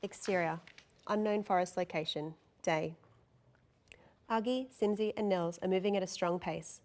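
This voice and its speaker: A woman's voice: lowish voice, moderate pace, a little mellow. A woman narrator reading stage directions and scene descriptions at a table read.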